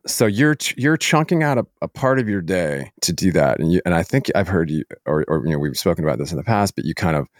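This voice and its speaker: A man with a low voice hosts a casual interview. He feels his way through long, meandering questions with halting phrasing. The sound is close and dry. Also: lively intonation, no echo. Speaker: podcast host, interviewer.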